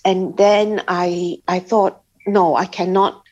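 A woman from Singapore telling her personal faith story as an interview guest, speaking haltingly with many pauses and restarts. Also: on the low side, fairly even intonation, a little mellow. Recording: slightly dull lo-fi sound.

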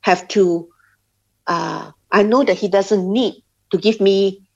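A woman from Singapore telling her personal faith story as an interview guest, speaking haltingly with many pauses and restarts. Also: medium pitch, fairly even intonation, creaky, darkish tone. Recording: slightly dull lo-fi sound.

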